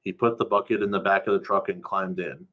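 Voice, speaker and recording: Male storyteller, lowish voice, colloquial first-person narrative read live. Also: level tone, a little fast, narrow, tinny audio. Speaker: storyteller reading a story aloud.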